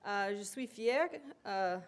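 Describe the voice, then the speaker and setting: Hesitant woman, slow talk. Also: mid-range voice, clear, resonant tone. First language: English. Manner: hesitant, many pauses and fillers. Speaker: invited speaker. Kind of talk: academic presentation. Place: lecture hall.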